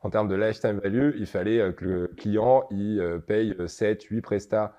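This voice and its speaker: A man's voice: fairly low pitch, moderate pace, dark tone. A young man from the Paris region, a startup founder, answering interview questions with many hesitations and repeated words. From Paris region, France.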